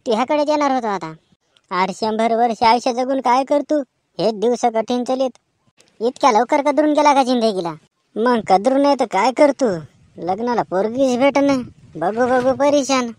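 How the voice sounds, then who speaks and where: Comic cartoon performer: woman, higher voice, rural Maharashtrian accent, colloquial banter, dry recording. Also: moderate pace, a little mellow, dry recording. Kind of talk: rustic comic cartoon dialogue.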